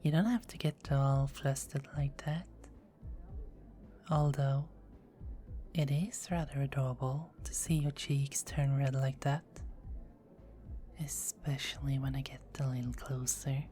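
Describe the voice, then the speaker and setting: A woman playing a low, whispering teasing vampire. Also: steady tone, unhurried, long pauses, faint music behind. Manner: soft-spoken, often whispering, playful teasing. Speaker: performer of own script. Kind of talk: ASMR roleplay.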